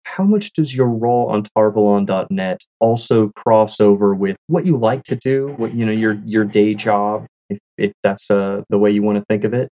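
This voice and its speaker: A woman's voice: extremely low pitch, moderate pace, few pauses, warm tone, lo-fi sound. A woman hosting a casual podcast interview, asking questions in a playful, joking manner. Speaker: podcast host.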